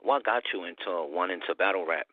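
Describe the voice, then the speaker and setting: A man's voice: low-pitched, brisk, no echo, phone-quality sound. A man hosting a casual interview in laid-back, slangy street talk. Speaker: interviewer, channel host.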